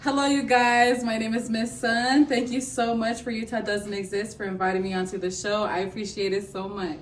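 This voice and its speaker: A woman's voice: fairly high pitch, little pitch movement, normal speed, far from the mic. A modest, giggly woman from Western Samoa talks easily about her music. She sounds set back from the mic in an echoey room, with faint background hiss. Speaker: guest musician. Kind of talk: casual interview chat.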